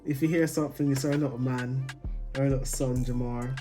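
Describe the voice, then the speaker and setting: A young man from Jamaica, a new father, chatting casually with his partner in a relaxed, laughing couple's Q&A, his voice often trailing off quietly. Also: medium pitch, brisk, faint music behind. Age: young adult.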